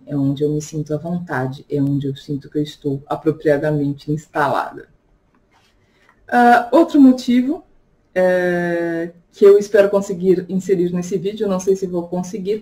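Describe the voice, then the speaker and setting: A woman's voice: lowish voice, warm tone. A woman from Brazil talking casually to her channel in a reflective personal vlog, chatty, often checking in with her viewers. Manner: chatty, reflective, frequent tag questions. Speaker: vlogger and tarot reader.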